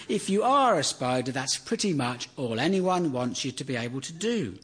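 A man delivering a deadpan, sardonic comedy monologue that builds into exasperated rants. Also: a little high, slightly rough.

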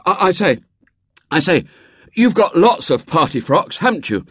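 Man acting a stammering, blustering schoolboy, pitched up. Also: sing-song, normal speed, fairly bright tone, slightly rough, dry recording, heavily acted. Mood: hesitant, coaxing. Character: awkward schoolboy, eager but out of his depth. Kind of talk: children's storybook character voice.

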